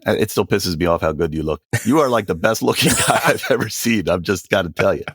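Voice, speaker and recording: A man's voice: low voice, lively intonation, fast, breathy edge, dry recording, studio quality. A man in his forties co-hosting a podcast, joking bluntly and swearing casually. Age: 40s. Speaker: podcast co-host. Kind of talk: casual chat.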